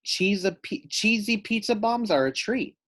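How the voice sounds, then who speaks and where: A man's voice: high voice, animated, a little slow, fairly bright tone, pitched above the performer's own voice. A man reading a picture book, voicing a caring, cheerful mother in a reassuring, instructive manner. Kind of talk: picture-book read-aloud character voice.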